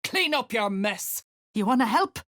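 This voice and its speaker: A woman playing a gruff, threatening dwarf sergeant in a video game, coarse and slangy in her speech. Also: a little high, very animated, a little slow, fairly bright tone. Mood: impatient, angry. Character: gruff, menacing female duergar sergeant driving slaves. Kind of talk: video game character acting.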